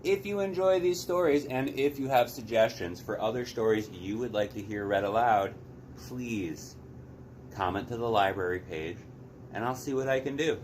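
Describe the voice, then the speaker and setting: A man's voice: mid-range voice, normal speed, slight background noise. A man narrating a story aloud expressively as a read-aloud host.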